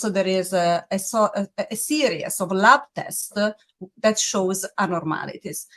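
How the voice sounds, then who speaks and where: Italian-accented woman, measured with pauses. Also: mid-range voice. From Italy. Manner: measured, with frequent "uh" pauses and searching restarts. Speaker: pharmaceutical medical officer. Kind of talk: interview answers on medicine.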